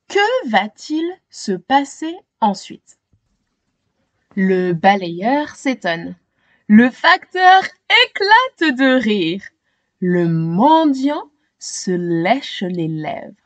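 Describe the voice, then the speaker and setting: A woman reading a children's storybook aloud in an expressive, animated way, as if to young listeners. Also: medium pitch, very slow, no room sound, muffled recording. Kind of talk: expressive scripted storybook narration.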